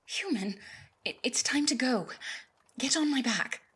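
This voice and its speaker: A woman playing an earnest, solemn griffin advisor in a fantasy audio roleplay, breathy, grave and formal. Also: high-pitched, expressive, a little slow, fairly bright tone, exaggerated voice. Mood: dutiful.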